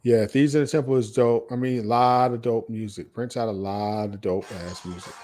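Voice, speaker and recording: A man's voice: medium pitch, fairly even intonation, moderate pace, dark tone. A man hosting a podcast, talking casually and enthusiastically about music in emphatic, repetitive, slangy phrases. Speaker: podcast host.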